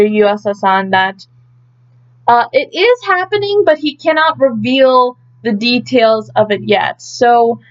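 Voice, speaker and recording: A woman with a fairly high-pitched voice presents news in a casual, chatty way. She pauses and thinks aloud as she goes through her notes. The sound is a little muffled and lo-fi, like a simple home recording. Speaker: host of an idol news update.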